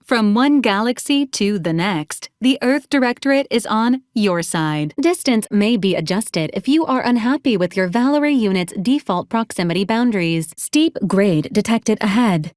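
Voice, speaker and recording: A woman playing a polite female robot assistant in a video game, speaking in formal, procedural phrases with a deadpan, literal manner. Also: medium pitch, moderate pace, few pauses, dry recording, studio quality, lightly acted. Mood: dryly helpful. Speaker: robot companion giving mission advisories. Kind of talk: scripted video game character lines.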